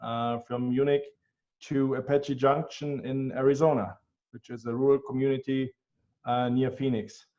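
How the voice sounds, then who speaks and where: A man with a German accent, answering interview questions in an earnest, measured way with frequent ums. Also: mid-range voice, little pitch movement, warm tone. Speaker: guest, healthcare tech executive. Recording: dull, lo-fi sound.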